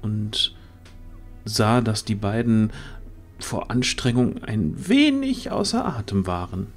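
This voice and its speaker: A man's voice: lowish voice, big pitch swings, takes it slowly, faint music behind. A man reading dramatic scripted narration for a fantasy audio drama.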